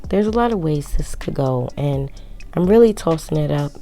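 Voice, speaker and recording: Low, raspy young woman narrating sewing. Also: expressive, normal speed, dark tone, faint music behind. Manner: rambling, self-mocking. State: hoarse from projecting through a mask. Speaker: sewing tutorial creator. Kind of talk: casual voiceover tutorial.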